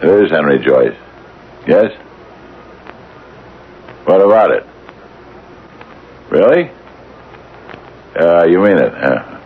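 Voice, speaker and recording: An older widower in a radio drama, with a very deep, creaky voice. He is nervous and a bit eccentric, stammering and doubling back on his words. The old radio recording is dull and noisy. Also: expressive, takes it slowly, pauses often, heavily acted. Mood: flustered, apologetic. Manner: hesitant, stammering, repeating himself. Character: hesitant, nervous retired widower near sixty, mildly eccentric.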